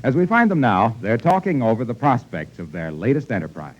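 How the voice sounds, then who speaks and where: A man's voice: mid-range voice, very animated, fairly quick. An American man announcing and reading ads on 1940s radio with a polished, emphatic delivery. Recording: muffled 1940s radio recording, faint noise, clicks.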